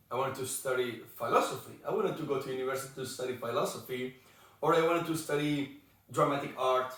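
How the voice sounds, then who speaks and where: A man's voice: medium pitch, far from the mic. Guy in his 20s from morocco chatting as a podcast guest, hunts for words sometimes, big echoey room, sits away from the mic. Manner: searches for words, non-native phrasing. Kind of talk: casual interview talk.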